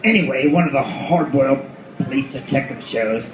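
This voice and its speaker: A man playing a cynical, foul-mouthed noir detective at the end of the world, in a theatrical radio-play style with drawn-out delivery. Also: mid-range voice, background noise, natural voice. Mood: bitter reminiscing. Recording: echoey room, far from mic, music behind.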